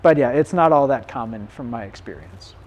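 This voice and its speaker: A man teaching a community bike clinic in a loose, conversational way. His voice is getting hoarse. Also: mid-range voice, expressive, a little fast, muffled recording. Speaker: bike shop clinic instructor. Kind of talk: live-streamed community clinic talk.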